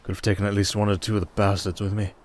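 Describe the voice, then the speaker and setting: A man's voice: lowish voice, flat delivery, racing, lightly acted. A man acting a nervous, rambling young castaway musician with a sardonic streak, in a fantasy ASMR roleplay. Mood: wary, sardonic.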